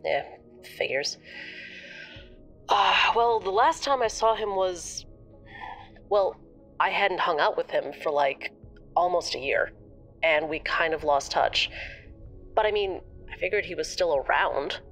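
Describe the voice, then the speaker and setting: A woman playing a casual young acquaintance on a phone call, talking in a halting, hesitant way. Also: lowish voice, a bit bright, faint music behind. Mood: hesitant, reflective. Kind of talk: naturalistic audio drama phone conversation.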